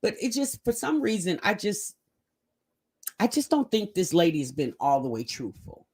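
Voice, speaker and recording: A woman's voice: medium pitch, big pitch swings, moderate pace, a little mellow, breathy edge. A woman hosting a casual reaction video, talking straight to her viewers and stretching words out for emphasis while she reads the live chat. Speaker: host of a reaction commentary channel.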